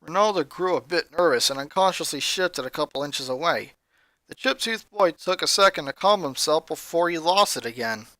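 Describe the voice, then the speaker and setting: A woman's voice: sits low, lively intonation, normal speed, fairly bright tone, dry recording. Woman narrating in a dry recording. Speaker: story narrator. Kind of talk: scripted fan fiction narration.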